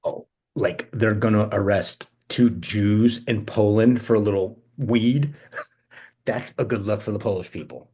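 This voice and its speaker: A man acting the part of a brash, charming, slangy cousin with a husky voice in a screenplay read-through. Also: lowish voice, measured pace, dark tone. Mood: cocky, dismissive. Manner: slangy, cocky, nonchalant. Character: Benji, brash, charming, restless, foul-mouthed male cousin. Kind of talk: informal screenplay table read, slangy naturalistic dialogue.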